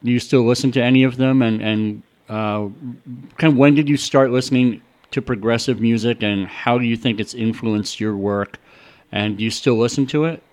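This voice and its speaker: A man's voice: mid-range voice, steady tone, normal speed, a little mellow. A male radio host interviewing a guest, asking long, rambling questions full of restarts.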